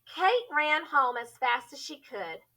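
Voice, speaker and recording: Female teacher narrator for a children's read-aloud: bright, animated, deliberate storytelling. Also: sits high, creaky.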